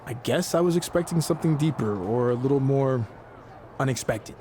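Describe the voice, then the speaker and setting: An earnest, determined male champion voiced by a man in a wrestling game cutscene. His voice sits at a middling pitch, with clear ambient noise around it. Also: lively intonation, normal speed, warm tone, close to an everyday voice. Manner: earnest, questioning. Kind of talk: video game cutscene dialogue.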